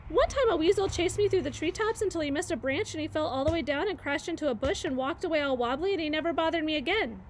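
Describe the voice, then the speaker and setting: A woman voicing a young female squirrel who rambles nervously without stopping for breath, with a high, quick voice. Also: expressive, slight background noise. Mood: excited chatter.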